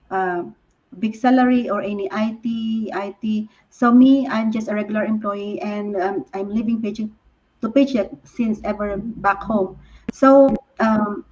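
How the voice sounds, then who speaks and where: A woman guest answers interview questions haltingly, restarting phrases and filling pauses. Her voice is mid-pitched, and the audio sounds dull and lo-fi. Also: a little mellow. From Philippines. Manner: halting, many restarts and fillers.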